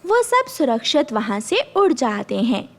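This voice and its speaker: A woman's voice: sits high, very animated, a little slow. A woman narrating a scripted children's cartoon story.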